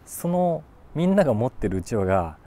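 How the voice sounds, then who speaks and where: A man in his forties talking casually about a book, thinking aloud and reading short lines with animated emphasis. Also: medium pitch, fairly quick. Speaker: YouTube host, voice actor. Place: outdoors on a city rooftop.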